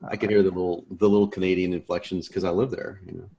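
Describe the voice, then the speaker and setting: A man's voice: low voice, flat delivery, a little fast, gravelly, warm tone, breathy edge, lo-fi sound. A man hosting a course, joking in laid-back casual chat on a video call before the lesson. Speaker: course host.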